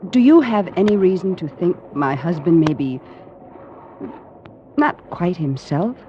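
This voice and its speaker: A captain's wife in danger in an old radio play, anxious and imploring. Her pitch moves about restlessly, and the vintage recording is dull and noisy. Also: very animated, unhurried. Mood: anxious, pleading. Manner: urgent, anxious, pleading. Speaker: actress. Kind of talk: 1940s radio acting.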